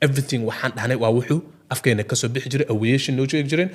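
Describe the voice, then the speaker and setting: Very fast-talking man in discussion. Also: mid-range voice. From Ogaden region. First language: Somali. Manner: repeats filler phrases, switches between Somali and English. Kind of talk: impassioned casual discussion.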